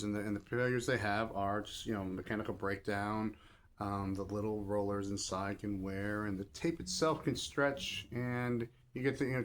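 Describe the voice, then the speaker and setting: A man giving a casual, off-the-cuff review as a shop host, rambling and pausing mid-thought to rephrase. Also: medium pitch. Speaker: record shop seller and host. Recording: clear background noise and ambience.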